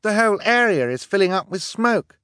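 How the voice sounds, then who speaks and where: A worried storybook girl, acted by a male narrator. She cries out anxiously in a raised, high pitch with a swooping delivery and dry sound. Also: lively intonation, normal speed, fairly bright tone, dry recording, lightly acted. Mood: panicked. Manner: crying out, anxious. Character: worried young girl, anxious older sister. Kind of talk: audiobook character acting.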